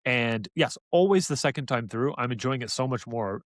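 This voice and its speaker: A man's voice: medium pitch, lively intonation, moderate pace, no echo, studio quality. Enthusiastic man chatting on a podcast. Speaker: podcast co-host reviewing an audiobook. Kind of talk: casual review chat.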